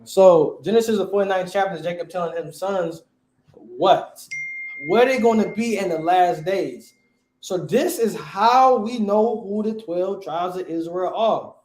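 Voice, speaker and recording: High-voiced man quizzing a class. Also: unhurried, slight background noise. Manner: quizzing, questioning; relaxed slang. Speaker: teacher. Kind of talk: Bible class teaching. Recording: echoey room.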